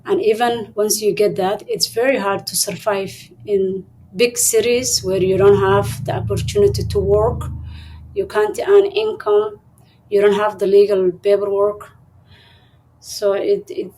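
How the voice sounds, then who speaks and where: A soft-spoken woman, her voice mellow and warm. She talks thoughtfully as an interview guest, pausing and restarting as she gathers her words. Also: medium pitch, fairly even intonation, a little hiss or hum, low-quality recording. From Somalia. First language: Somali. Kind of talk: interview conversation.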